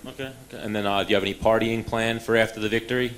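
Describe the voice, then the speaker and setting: A man's voice: on the low side, fairly even intonation, very fast, fairly bright tone, low-quality recording. A man asking a fighter friendly, casual questions at a media day. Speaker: reporter. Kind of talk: press questions.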